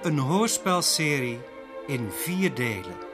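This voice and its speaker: Very slow male announcer over music. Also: mid-range voice, very animated. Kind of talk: scripted radio drama announcement.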